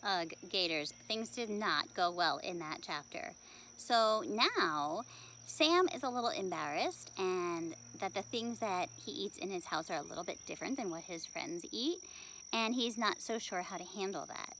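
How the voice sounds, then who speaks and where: A woman's voice: mid-range voice, animated, unhurried, a bit bright, a little hiss or hum, low-quality recording. A woman reading a children's book aloud expressively as a storyteller.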